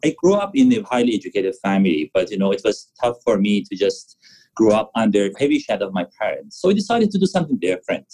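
Iranian guy in his 40s, doctor talking on a podcast, low and kinda mellow, stops and restarts a lot. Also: big pitch swings, low-quality recording. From Iran. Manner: halting, restarts often, non-native phrasing. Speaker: physician guest. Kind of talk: interview answers.

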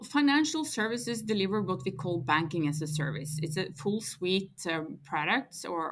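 A woman in her forties with a Norwegian accent, explaining her work as an interview guest and repeating words as she thinks. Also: on the low side. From Norway. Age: 40s. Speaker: guest, head of core banking. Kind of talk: interview answers.

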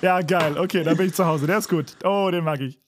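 A man's voice: a little high, fairly quick, soft background music. A man co-hosting a podcast in casual comedic chat, joking and making fun of himself. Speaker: podcast co-host.

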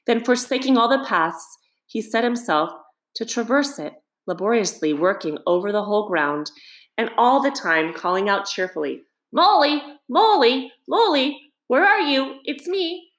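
A woman reading a children's story aloud as expressive scripted narration. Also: fairly low pitch. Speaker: storybook reader. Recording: roomy, echoing sound.

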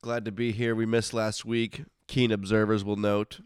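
A low-voiced man talks casually as a solo podcast host. His nose is stuffed up from congested sinuses, though the voice stays smooth and clean in a dry, close recording. He is informal and grumbles in asides, often cutting into his own sentences. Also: flat delivery, moderate pace, dry recording.

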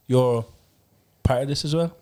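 A young man, a videographer guest, laid-back and soft-spoken in casual chat. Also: medium pitch, measured pace.

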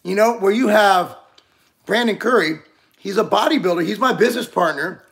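A man in his forties talks in a loose, rambling way, restarting his sentences as he chats with a co-host. His voice sits high for a man and has a gravelly edge. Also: moderate pace, a bit bright. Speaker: podcast co-host. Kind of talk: casual chat.

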